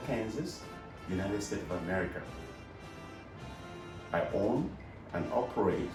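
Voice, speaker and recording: A male physician from Nigeria with a deep voice gives a formal, measured greeting. He sounds distant from the mic in an echoey space. Music plays clearly underneath. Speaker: clinic owner, physician. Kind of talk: recorded video greeting.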